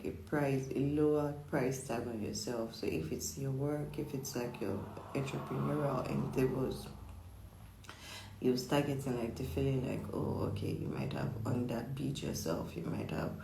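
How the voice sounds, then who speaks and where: A hoarse, croaky psychic chats through a weekly horoscope, repeating words and checking in with "okay?". The voice has a gravelly fry. It sits in a roomy, echoing space. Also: mid-range voice, dark tone, a little hiss or hum. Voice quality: husky, croaky. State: hoarse from just waking up. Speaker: psychic astrologer. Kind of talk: casual weekly horoscope reading. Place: indoor room with echo. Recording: echoey room.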